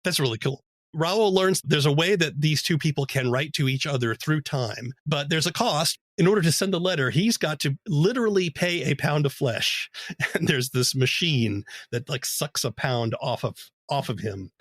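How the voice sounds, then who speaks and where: A man hosting an interview podcast, summarizing a story in a clear, measured way. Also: a little high, expressive, fairly bright tone, no room sound, clean studio sound. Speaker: podcast host.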